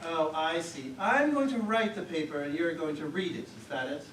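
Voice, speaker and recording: Woman playing a low-voiced, deadpan husband. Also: moderate pace, close to an everyday voice. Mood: dry, skeptical. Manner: dry, deadpan, skeptical. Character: dry, unimpressed writer husband. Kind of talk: stage comedy acting. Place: theater stage, recorded from afar. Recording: echoey theater, distant mic, some noise.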